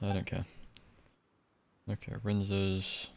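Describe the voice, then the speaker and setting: A man's voice: low voice, completely flat delivery, slow, gravelly, slight background noise. A man streaming a game live, giving casual, offhand commentary in a flippant, muttering way.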